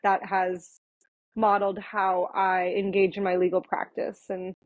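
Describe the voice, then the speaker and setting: A woman in her thirties talks through her story as a podcast guest, thoughtful and unhurried, trailing into fillers and restarts. Her voice sits at a middling pitch. The sound is dry, with no room around it, and a little muffled. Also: monotone, dry recording. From born in Thailand, raised in Florida and India. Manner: reflective, with frequent fillers and restarts. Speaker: guest, attorney. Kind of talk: conversational podcast interview.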